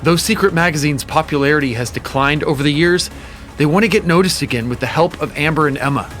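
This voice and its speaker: Man playing a high-voiced, domineering boss. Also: quick pace, a bit bright, soft background music, close to an everyday voice. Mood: businesslike. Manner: commanding, dramatic. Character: domineering, calculating male boss, easily exasperated. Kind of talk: audio drama acting.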